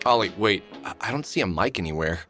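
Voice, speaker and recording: Guy acting a tired cynical middle aged dude from a visual novel, deep voice, dry muttering, faint music under it. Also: lively intonation, fast, a bit bright. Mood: unsure, businesslike. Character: weary, cynical middle-aged man trapped in sci-fi apartments.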